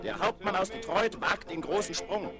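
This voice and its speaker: An actor playing an excited man declaiming headlines to his mates in a 1970s radio play. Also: high-pitched, moderate pace, over music, lightly acted. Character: excited man reading newspaper headlines aloud to workmates.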